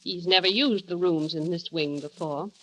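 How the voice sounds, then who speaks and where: An actress playing a cold, stiffly formal housekeeper of a grand house in a 1930s radio drama. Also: female voice, on the low side, normal speed, a bit bright.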